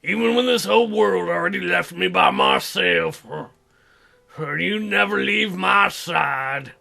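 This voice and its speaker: Guy doing a hurt stubborn young dude in a visual novel, dramatic n halting, bright forceful voice. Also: high voice, creaky, soft background music, heavily acted. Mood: wounded. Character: stubborn, hurting Filipino young man, childhood friend.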